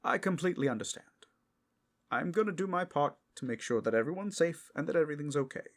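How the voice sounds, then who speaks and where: A man's voice: a little high, lively intonation, quick pace. A man playing an earnest, well-meaning superhero in an audio roleplay, hesitant and stammering as he tries to sound reasonable. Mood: tactful.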